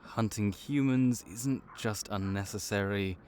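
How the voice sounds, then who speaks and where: A man's voice: on the low side, measured pace, darkish tone, slight background noise, close to an everyday voice. A man voicing a laid-back vampire clerk and mentor in an audio roleplay, dry and wry as he speaks to the listener. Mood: easygoing.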